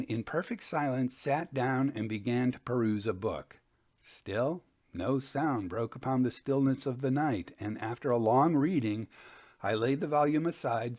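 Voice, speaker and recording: A man reading an old-fashioned ghost story as a dramatic narrator, in a measured, formal storytelling manner. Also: medium pitch. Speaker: narrator of Victorian ghost stories.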